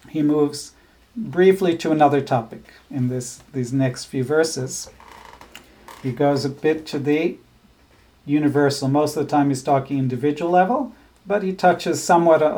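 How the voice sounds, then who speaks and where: A man teaching a scripture class, explaining ideas in a thoughtful, halting way with frequent restarts. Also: a little high, warm tone. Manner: chants verses slowly. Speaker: spiritual teacher.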